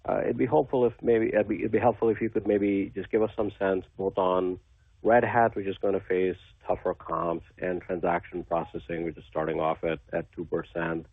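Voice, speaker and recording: A man phoning in as a financial analyst, asking his question haltingly and repeating words, over a narrow phone line. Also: low voice, steady tone, breathy edge, no room sound. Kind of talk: phone-in question on an earnings call.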